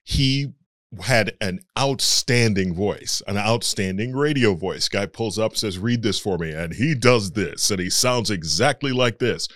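A man's voice: lowish voice, expressive, measured pace, a bit bright, no room sound. A male voice actor being interviewed, explaining his trade in a frank, matter-of-fact conversational way. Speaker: voice actor guest.

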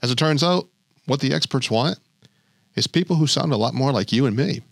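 Wry male host narrating, mid-pitched. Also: lively intonation, brisk, gravelly, dry recording, clean studio sound. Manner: light, wry storytelling. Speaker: podcast host. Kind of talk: scripted narration.

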